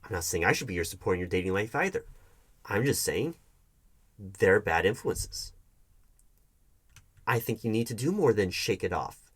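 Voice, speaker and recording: A man playing a hurt, defensive hiring manager in an audio roleplay, talking to his ex in a halting, sarcastic way. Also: lowish voice, expressive, husky. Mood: guarded, exasperated. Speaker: roleplay performer. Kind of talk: one-sided audio roleplay acting.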